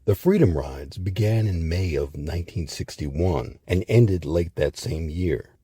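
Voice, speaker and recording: A man reading scripted documentary narration in a measured, articulate way with emphatic phrasing. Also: sits low, warm tone, breathy edge, no echo, studio quality. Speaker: narrator of a history program.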